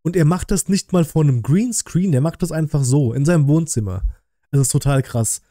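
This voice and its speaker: Dark-voiced casual man reacting on stream. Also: a little high, fairly quick, no echo, clean studio sound. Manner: colloquial, slangy. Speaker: reaction video host. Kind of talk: casual live reaction commentary.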